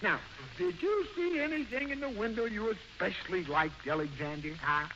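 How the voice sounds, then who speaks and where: An actor playing an excitable, bumbling suburban husband and father in an old radio comedy, stammering and flustered. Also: high voice, normal speed. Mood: flustered, prodding. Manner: flustered, stammering, interjecting constantly. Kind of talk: 1940s radio comedy acting. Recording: dull, noisy old-time radio recording.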